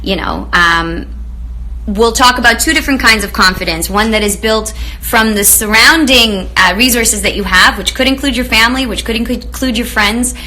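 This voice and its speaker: A woman's voice: fairly high pitch, moderate pace, poor audio. A woman from Romania hosting a live online show, chatting with viewers in an effusive way with lots of fillers. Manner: effusive, greets viewers warmly, many fillers. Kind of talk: live-stream chat with viewers.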